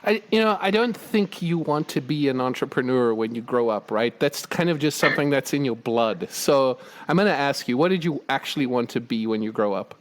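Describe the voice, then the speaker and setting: Lively-voiced male podcast host. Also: medium pitch, fairly quick, hardly any pauses. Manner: friendly and inquisitive, chuckles often. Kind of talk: conversational interview.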